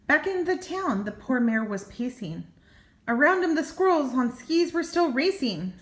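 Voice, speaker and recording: A woman's voice: high voice, big pitch swings, a little slow. An older woman, a grandmother, reading a children's story expressively with small asides, in an echoey room. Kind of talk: children's storybook read-aloud.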